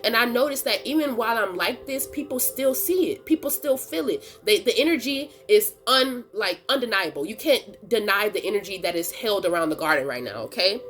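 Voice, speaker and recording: A woman's voice: mid-range voice, animated, a little fast, hardly any pauses, a bit bright. A woman with a stuffy nose from a cold, chatting casually in as she gives a tarot reading, slangy and laughing often. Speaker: tarot reader and channel host.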